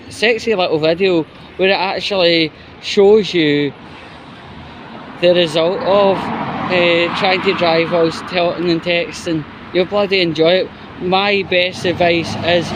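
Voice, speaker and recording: A man's voice: sits high, background noise. A man giving an opinionated, exasperated, sweary commentary in a casual vlog style. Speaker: YouTuber.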